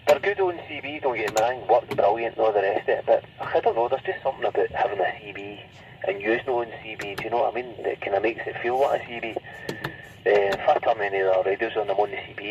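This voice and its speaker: A Scottish man chatting casually over CB radio in a rambling way, often adding little tag questions. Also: on the low side. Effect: CB radio transmission. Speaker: CB radio hobbyist. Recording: muffled, distant, faintly noisy CB radio.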